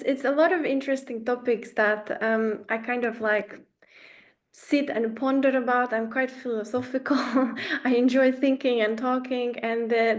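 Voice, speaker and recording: A young woman from Romania leading an online workshop, chatty and self-effacing, with many fillers and restarts. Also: fairly high pitch. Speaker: workshop facilitator.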